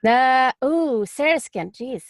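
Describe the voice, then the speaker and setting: A woman narrator chatting casually, halting and slangy, with a self-deprecating way of talking. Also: sits high, lively intonation, dry recording, clean studio sound. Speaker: audiobook narrator. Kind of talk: casual podcast chat.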